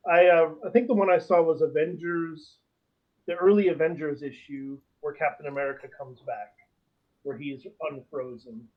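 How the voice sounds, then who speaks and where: A man's voice: on the high side, steady tone, normal speed. A man in casual conversation as a podcast co-host, recorded over a lo-fi connection.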